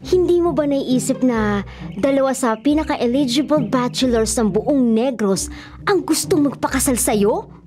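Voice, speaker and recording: An actress playing a joking, excitable young best friend with a teasing, exclaiming manner in a radio drama. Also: female voice, high-pitched, unhurried.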